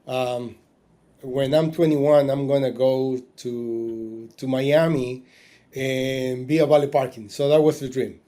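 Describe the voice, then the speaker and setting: Man in his forties, warm dark tone, halting interview guest talk. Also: mid-range voice, steady tone. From Argentina. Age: 40s. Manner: halting, with many fillers and restarts. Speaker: podcast guest.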